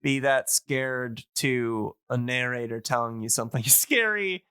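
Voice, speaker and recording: Bright-voiced man chatting casually, close and dry. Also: mid-range voice, unhurried, clear, resonant tone, dry recording. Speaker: podcast guest and radio drama hobbyist. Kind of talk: casual podcast chat.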